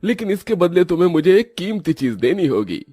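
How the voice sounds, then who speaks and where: A man puts on a bargaining magician from a cartoon tale. He sounds theatrical and portentous, with a raised, husky voice over faint music. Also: sits high, sing-song, brisk, dark tone, dry recording, studio quality, voice pushed up, full character voice. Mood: grand, warning. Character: mysterious adult male magician shopkeeper, bargaining.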